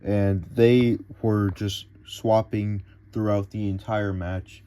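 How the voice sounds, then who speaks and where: A man's voice: on the low side, slow pace. A young man recapping a wrestling event in a casual, rambling way, full of fillers and restarts. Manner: excitable, rambling, filler-heavy. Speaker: wrestling show reviewer.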